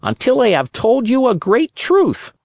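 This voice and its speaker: A wise old talking cricket from a storybook, calm and preachy, speaking in a thin, raised voice, as the narrator puts him on. His lines rise and fall widely, slow and careful, in a dry, close recording. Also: medium pitch, dry recording, voice pushed up. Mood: calm lecturing. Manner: calm, lecturing.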